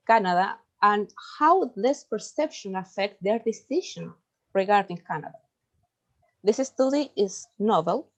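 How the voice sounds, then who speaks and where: A young Chilean woman, a native Spanish speaker, carefully reading a research presentation in English with halting ums. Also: mid-range voice, dull audio.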